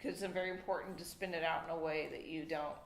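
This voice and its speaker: A woman on a finance panel who explains things in a measured way. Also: sits low, fairly even intonation, slight background noise. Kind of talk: unscripted panel discussion. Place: event hall with audience. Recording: echoey room.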